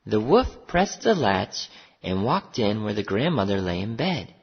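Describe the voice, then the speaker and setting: An expressive storyteller reads a fairy tale with deliberate phrasing. The pitch swings widely and the voice is husky and creaky, in a muffled recording with long reverb. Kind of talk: scripted fairy-tale narration for English learners.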